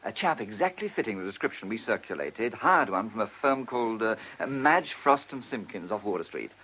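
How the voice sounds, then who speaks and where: A man's voice: fairly low pitch, big pitch swings, moderate pace, bright, forceful tone, a little hiss or hum, full character voice, low-quality recording. An actor playing a keen, cheeky younger British policeman in a radio play, with breezy, slangy speech. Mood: pleased. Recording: old radio drama recording.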